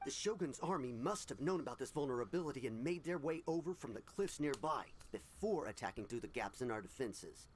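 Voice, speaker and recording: A man's voice: medium pitch, lively intonation, fairly quick, hardly any pauses, slight background noise, lightly acted. An actor playing a dutiful, formal military scout who reports to his superior in a video game. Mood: serious, dutiful. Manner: formal, deferential.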